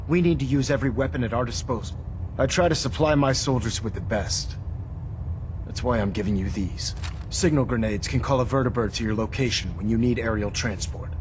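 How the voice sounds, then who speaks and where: An actor playing a stern, zealous military commander in a post-apocalyptic game, speaking formally and with authority. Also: male voice, medium pitch, background noise, close to an everyday voice. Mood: firm, formal. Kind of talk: video game voice acting.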